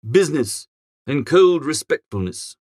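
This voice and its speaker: A man narrates a storybook as a flustered young gentleman of leisure. His voice sits high and swoops about with lively inflection. He sounds posh and chatty, given to grumbling exclamations. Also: a little slow, husky, no room sound, studio quality. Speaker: audiobook narrator. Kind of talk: animated first-person storybook narration in character.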